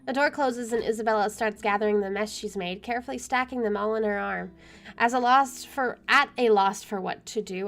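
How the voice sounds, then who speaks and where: A woman streaming a game, reading visual novel narration aloud and adding casual asides. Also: medium pitch. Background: soft music.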